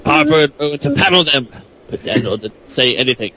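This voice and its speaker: An animated man narrates comic fan fiction with mock drama. His pitch moves around a lot as he drops in playful asides, with faint noise behind him. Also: brisk, poor audio. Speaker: podcast guest or panelist reading narration. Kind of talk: comic fan-fiction reading and casual banter.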